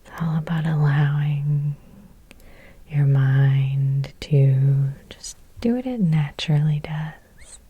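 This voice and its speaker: A woman whispering a guided ASMR hypnosis in a breathy, gentle, soothing way, close to the microphone with long pauses. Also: low-pitched, no room sound, lo-fi sound.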